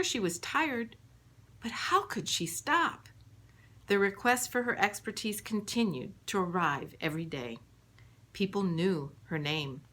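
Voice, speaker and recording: A woman narrates an audiobook as its author. Her voice sits a little on the low side. She tells the story in a measured, scripted delivery. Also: a bit bright. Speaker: author reading her own book.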